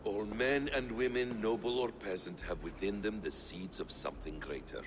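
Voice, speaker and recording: Guy as mysterious calm advisor in a game, deep voice, wry, faint noise behind. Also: natural voice. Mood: calm, measured. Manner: measured, philosophical, wry. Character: composed, enigmatic Middle Eastern scholar-warrior advisor. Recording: game dialogue over faint ambient noise.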